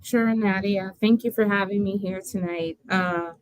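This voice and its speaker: A woman's voice: mid-range voice, fairly even intonation, unhurried, dry recording, low-quality recording. A woman author from the Bahamas, introducing herself on a talk show in a friendly, composed way. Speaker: author guest. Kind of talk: interview self-introduction.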